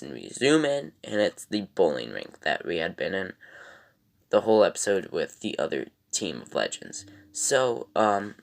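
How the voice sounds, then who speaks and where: A man with a raspy voice casually recapping a TV episode as a reviewer, in a rambling, halting way. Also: fairly low pitch, a bit bright.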